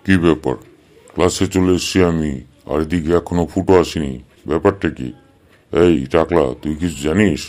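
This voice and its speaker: A man's voice: a bass voice, a little mellow. A man playing a stern, exasperated schoolteacher in a comedy cartoon, scolding and sarcastic. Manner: scolding, sarcastic, drawn-out hums. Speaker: cartoon voice actor. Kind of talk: Bengali comedy cartoon dub.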